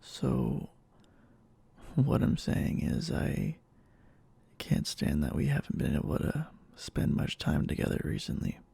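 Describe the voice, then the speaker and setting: Yandere boyfriend, played by a man, for ASMR roleplay: gravelly, breathy, slow, halting, anxiously devoted. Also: medium pitch, huge pitch swings, mellow, no room sound, full character voice. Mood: hurt, anxious. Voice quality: breathy, husky half-whisper.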